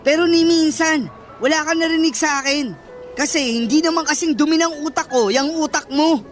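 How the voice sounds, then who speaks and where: Earnest young student boyfriend in radio drama. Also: male voice, extremely high pitch, normal speed, soft background music. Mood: exasperated, arguing. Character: young male student, kind but fed-up boyfriend. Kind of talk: Filipino radio drama acting.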